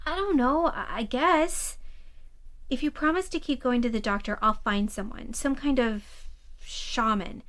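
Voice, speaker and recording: A woman's voice: fairly high pitch, measured pace, fairly bright tone. A woman playing a young kitchen witch in an audio drama, frank and wry but ready to help. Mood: doubtful, uneasy. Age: young adult. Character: blunt, skeptical but helpful young kitchen-witch friend.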